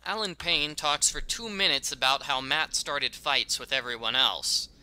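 A man's voice: medium pitch, unhurried, sharp tone, clean tone, rough recording. A man delivering scripted, sarcastic, mock-indignant narration that nitpicks a video game. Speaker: video critic.

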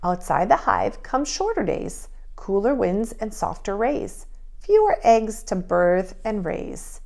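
A woman preschool teacher reading and instructing young children in an expressive, child-directed way. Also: mid-range voice, slow, gravelly. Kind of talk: children's read-aloud and drawing lesson.

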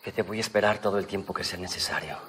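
A man's voice: deep voice, very fast, sharp tone, slight background noise. A man playing an ardent, insistent telenovela lover. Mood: sincere. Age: 30s. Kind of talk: telenovela acting.

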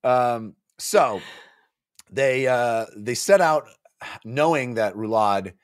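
A man's voice: mid-range voice, slow, no echo. A man in his forties chatting casually and jokily as a podcast host, acting out bits of a story, close on a studio microphone. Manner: animated, jokey, acting out characters' lines. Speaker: book club podcast host. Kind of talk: casual recap chat about a novel. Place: small indoor home studio. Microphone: close studio microphone on a boom arm.

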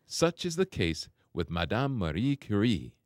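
A man giving scripted documentary narration in a smooth, measured delivery. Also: on the low side, sing-song. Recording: voice-over with clear music bed.

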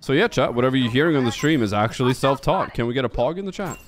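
A man's voice: medium pitch, lively intonation, very fast. A young man from Sweden whose first language is Swedish, chatting casually and bluntly with his viewers while he plays, with plenty of swearing. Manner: blunt and profane, talks straight to chat. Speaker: game streamer. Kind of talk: casual chat while playing.

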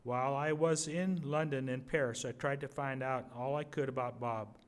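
An older man reading a wartime letter aloud at a podium microphone, measured and a little halting. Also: medium pitch, steady tone. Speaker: readers theater reader. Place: museum gallery, indoors.

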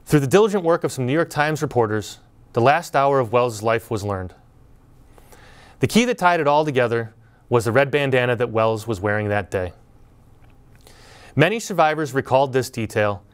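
A middle-aged man giving a prepared graduation speech from a podium, telling a story in a measured, earnest way. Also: medium pitch, clean tone. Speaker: school speaker at graduation. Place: indoor studio before green backdrop.

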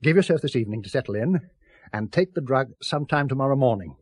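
An assured, secretive British scientist in a seventies radio play. His voice is slightly husky and very animated, with articulate, earnest, insistent delivery. The recording is dry and close. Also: medium pitch, quick pace, dry recording. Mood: instructive, insistent. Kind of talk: 1970s British radio drama acting.

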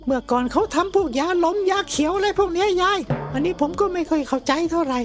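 A squeaky-high little schoolboy from a cartoon comedy. He is cheeky, naive and rambles earnestly, with music playing underneath. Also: animated, fast. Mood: puzzled, earnest. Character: cheeky, naive schoolboy grandson, eager and chatty.

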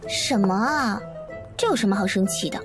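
A woman's voice: medium pitch, animated, moderate pace, over music, close to an everyday voice. A woman playing a petulant, nosy young female student in an audio drama, scolding and coaxing. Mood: curious, coaxing. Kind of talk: Chinese audio drama acting.

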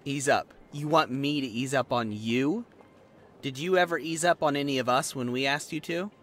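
A man's voice: mid-range voice, moderate pace, soft background music, natural voice. A young man acting a resentful former bullying victim in a roleplay audio drama, with a sarcastic, confrontational manner. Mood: bitter, scornful. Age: young adult.

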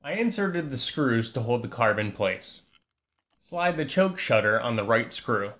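A man giving a calm, scripted voice-over that walks through a repair step by step. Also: mid-range voice, unhurried. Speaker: repair channel host.

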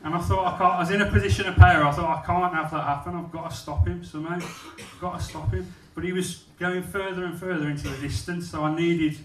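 Bloke doing stand-up, swears a lot, slightly high voice, echoey club mic a bit far off. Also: male voice, fairly even intonation, fast, hardly any pauses, a little hiss or hum. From England. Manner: blunt, sweary, self-mocking storytelling. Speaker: stand-up comedian. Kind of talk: stand-up storytelling. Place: comedy club stage, small venue with live audience. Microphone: handheld mic on stand. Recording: echoey room, mic off-axis, audience laughter.

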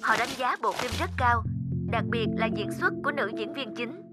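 A woman's voice: fairly high pitch, lively intonation, normal speed, over loud music, natural voice. A woman voicing an eager, friendly young female student in a cartoon, speaking expressively. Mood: excited. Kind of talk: dubbed cartoon dialogue.

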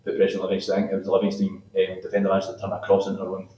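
A man interviewing a coach for a club channel, asking long rambling questions in a flat delivery, heard from off camera. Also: sits low, racing, reverberant. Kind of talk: post-match interview questions.

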